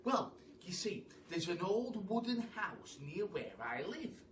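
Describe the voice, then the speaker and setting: Man playing young storybook boy, earnest, polite, hesitant, raised pitch, echoey room. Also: very animated, slow, full character voice. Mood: hopeful, hesitant. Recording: echoey room, distant mic, faint noise.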